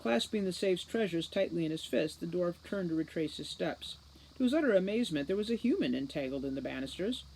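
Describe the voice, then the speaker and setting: A woman's voice: on the low side, expressive, a little fast, a little mellow, slight background noise, rough recording. A woman reading scripted storybook narration as an audiobook reader.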